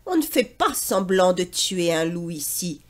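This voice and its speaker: A woman's voice: on the low side, very animated, unhurried, pitched below the performer's own voice. A woman voicing a mocking little bird in a storybook, scolding and ironic.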